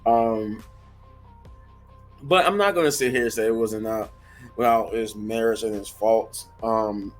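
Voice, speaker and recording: A hoarse man giving a casual, rambling wrestling review, full of fillers and restarts. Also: on the low side, measured pace, long pauses, faint music behind.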